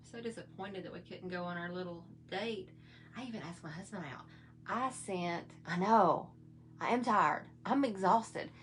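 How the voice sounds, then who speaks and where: A middle-aged woman with a slightly hoarse voice, chatting in a folksy, rambling way while she shows her makeup routine. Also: on the low side, normal speed, soft background music. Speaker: makeup vlogger. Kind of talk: casual makeup tutorial chat.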